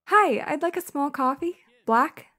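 A woman playing a cheerful, curious high school girl in an audio drama. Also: animated, measured pace, pushed and bright, full character voice. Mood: light. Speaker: voice actor.

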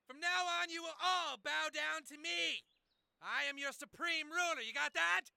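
A man voicing a bossy, imperious self-appointed tyrant in an animated comedy. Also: extremely high pitch, unhurried, dry recording, studio quality, full character voice. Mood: domineering. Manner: imperious, shouting.

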